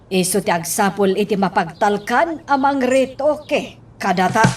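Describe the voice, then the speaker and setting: A woman's voice: medium pitch, a little slow, distant mic, close to an everyday voice. An actress playing a fugitive's questioning female accomplice in an Ilocano radio drama. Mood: curious, agreeing.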